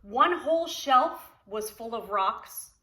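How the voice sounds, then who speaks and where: A woman's voice: a little high, expressive, takes it slowly, sharp tone. A woman preschool teacher reading a story aloud to young children, expressively, with simple explanatory asides.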